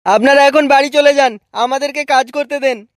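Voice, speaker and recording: A performer doing a cocky young cartoon tough guy, high voice, boastful, crude, dry sound. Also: steady tone, normal speed, dry recording, lightly acted. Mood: defiant. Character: brash, boastful village club strongman, loyal friend. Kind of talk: comic cartoon voice acting.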